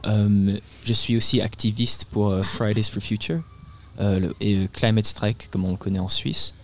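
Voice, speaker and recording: Earnest eighteen-year-old man, low voice. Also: steady tone, moderate pace. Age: teenager. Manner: earnest, hesitant with fillers. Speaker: guest, climate activist. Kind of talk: radio interview.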